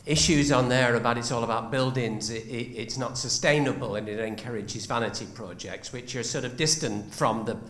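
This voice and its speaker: Older northern man from the floor, rambling and restarting, mid pitch, roomy sound. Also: brisk, hardly any pauses, a bit bright. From north of England. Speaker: audience member. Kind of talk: audience question. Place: lecture theatre audience seating. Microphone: handheld mic. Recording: echoey lecture hall.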